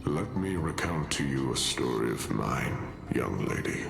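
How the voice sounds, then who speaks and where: Noble battle-worn warrior, fantasy game. Gravelly, unhurried, serene and grandiloquent, music behind. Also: male voice, lively intonation, exaggerated voice. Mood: reflective, somber. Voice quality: low, gravelly. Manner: solemn, grandiloquent, measured. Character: weary, noble male warrior embracing death serenely. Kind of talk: dramatic fantasy game voice acting. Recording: echoey voice over prominent music.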